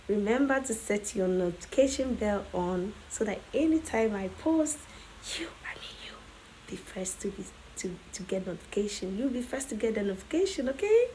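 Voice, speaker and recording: A woman with a hoarse voice from days of coughing, walking viewers through a headwrap tutorial in a casual, chatty way and often checking in with "okay?". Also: medium pitch, warm tone, a little hiss or hum, low-quality recording. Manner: chatty, keeps adding "okay?". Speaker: tutorial host.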